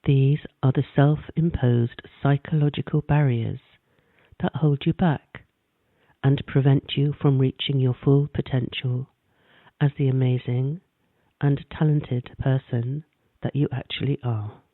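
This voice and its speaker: A man gently narrating a guided meditation in a calm, measured way. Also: medium pitch, no echo. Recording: dry, tinny phone-like sound.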